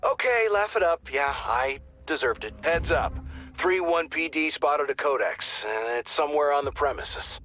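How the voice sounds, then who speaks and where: A fairly high, forceful male voice plays an earnest intel officer in a video game. He delivers mission updates informally and alertly over light music. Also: animated, normal speed. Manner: conversational, alert. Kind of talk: scripted video game radio briefing. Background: faint music.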